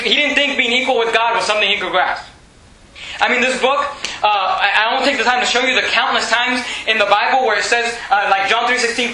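A man from Venezuela, whose first language is Spanish, preaches with a high, very bright voice. He drives his points with repeated rhetorical questions and restarts his sentences often. The sound is roomy and echoey, with a faint hiss underneath. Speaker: pastor. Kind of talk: sermon. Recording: echoey room with faint noise.

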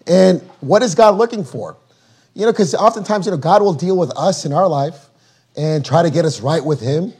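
A hoarse man preaches with a husky, darkened voice that sits a little high for a man. He reads scripture slowly and deliberately and explains it in plain, everyday words. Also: animated, darkish tone. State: losing his voice, hoarse. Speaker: guest preacher. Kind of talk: sermon.

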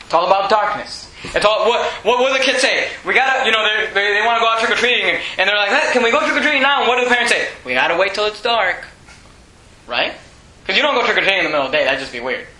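A man in his twenties preaching an impassioned sermon with scripture readings, speaking in an emphatic, repetitive way that often pushes toward shouting. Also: high-pitched. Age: 20s. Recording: echoey room with faint noise.

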